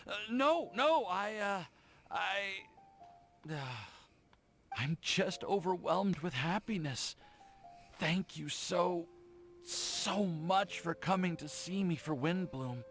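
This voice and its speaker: A man voicing a shy, adoring, lovestruck young man in a video game, stammering and halting as he speaks. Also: high voice, animated, fairly bright tone, soft background music, heavily acted. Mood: flustered. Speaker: game character voice actor. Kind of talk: voiced game dialogue.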